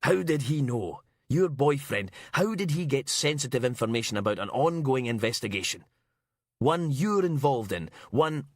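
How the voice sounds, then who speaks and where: A man's voice: medium pitch, normal speed, fairly bright tone, breathy edge, no echo, studio quality, voice pushed up. A man voicing a blustering, enraged middle-aged police inspector in an audiobook, accusatory and sputtering. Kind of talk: audiobook character acting.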